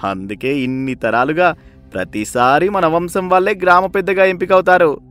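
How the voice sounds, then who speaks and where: A man voicing a young adult son who questions his father eagerly and joins his scheme, for an animated story. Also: fairly high pitch, moderate pace, soft background music, natural voice. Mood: curious. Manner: inquisitive, eager.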